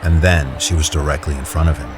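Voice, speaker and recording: A man with a very low voice narrates an audio drama in a measured, descriptive style. A music score runs steadily under his narration. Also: steady tone. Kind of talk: scripted narration.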